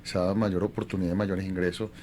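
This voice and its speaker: A man's voice: low-pitched, little pitch movement, fast, darkish tone, slight background noise. A man interviewing on a radio show, asking questions conversationally and tentatively, often repeating words as he forms them.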